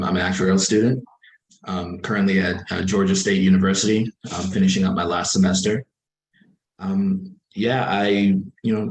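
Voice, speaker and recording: Deep flat-voiced young man. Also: reverberant, rough recording. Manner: modest, hesitant. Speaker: student. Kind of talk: casual self-introduction. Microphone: video call.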